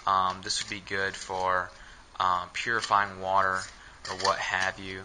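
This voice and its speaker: A man's voice: deep voice, completely flat delivery, measured pace, background noise. A young man filming himself indoors with a head-mounted camera, casually walking through his camping gear with many ums and uhs. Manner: hesitant, many fillers. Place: small indoor room.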